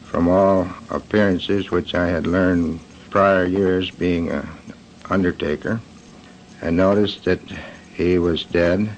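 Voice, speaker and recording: Slow, deep-voiced man giving witness statement. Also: fairly even intonation. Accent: American. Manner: plain, halting. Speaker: eyewitness, bus company passenger agent. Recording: dull, faintly noisy 1940s radio recording.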